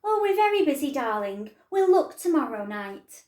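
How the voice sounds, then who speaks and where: A woman's voice: high-pitched, sing-song, normal speed, a little mellow, voice pushed up. A woman voicing a busy, distracted parent who brushes off a child in a storybook, in a roomy space. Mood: dismissive. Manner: breezy and dismissive.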